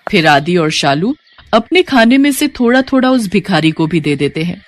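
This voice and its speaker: लहराते स्वर में कहानी सुनाती महिला. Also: female voice, fairly low pitch, big pitch swings, normal speed. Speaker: storyteller. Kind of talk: scripted devotional story narration.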